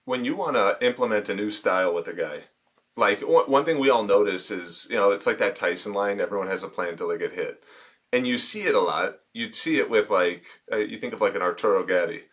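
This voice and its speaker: Relaxed male interviewer with rambling questions. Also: fairly low pitch, fairly quick.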